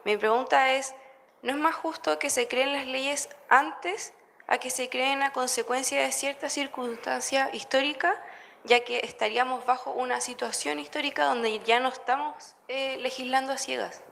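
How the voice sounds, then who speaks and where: A woman's voice: high-pitched, fairly even intonation, normal speed, creaky, fairly bright tone, slight background noise. A young woman from Chile, a student, asking a careful question in class with some hesitation.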